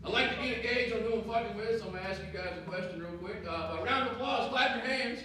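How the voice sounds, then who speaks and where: A man's voice: high-pitched, quick pace. A man with a Southern US accent doing a crude, self-mocking stand-up comedy set on a club stage. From American South. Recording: echoey club, distant mic, loud crowd. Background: audience laughter and noise.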